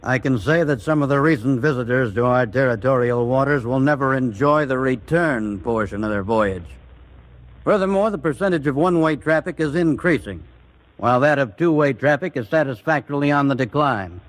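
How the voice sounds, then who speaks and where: An actor playing a confident, wry naval spokesman giving a measured official statement in a radio drama. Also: medium pitch, animated, lightly acted, poor audio. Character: confident, dry-witted American naval spokesman at press conference.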